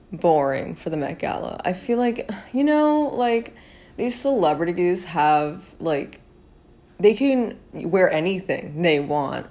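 Congested young woman, low voice. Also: darkish tone. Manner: chatty, lots of fillers, swears casually. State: congested, nasal. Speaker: podcast host. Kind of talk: casual fashion commentary.

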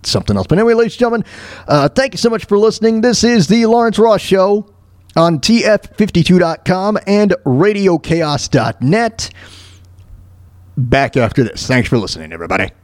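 A man with a high, lively voice hosts a casual talk radio show in a very dry sound. His delivery swings widely in pitch as he rambles, exclaims and repeats himself while commenting. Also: brisk, no echo.

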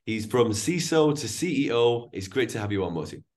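A man's voice: mid-range voice, sing-song, normal speed, a little mellow. A man hosting an interview podcast, introducing and prompting his guest. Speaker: podcast host.

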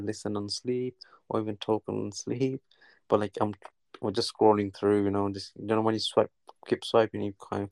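Low gravelly man chatting casually. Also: no room sound. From England (Newcastle). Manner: halting, many restarts and fillers. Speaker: guest caller. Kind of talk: casual chat.

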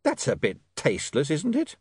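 A well-meaning young newspaperman, voiced by a male narrator, awkward and quick to justify himself. The voice sits raised and moves about in pitch, flustered, in an audiobook style. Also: huge pitch swings, slightly rough, no room sound, clean studio sound, full character voice. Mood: embarrassed. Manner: flustered, stammering, self-justifying. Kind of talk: audiobook character voice.

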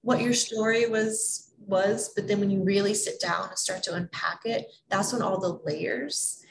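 A woman hosting a podcast, talking in a gentle, reflective way and drawing out her phrases as she answers her guest. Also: medium pitch, steady tone, measured pace, gravelly. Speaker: podcast host. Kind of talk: warm conversational interview. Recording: noisy room recording.

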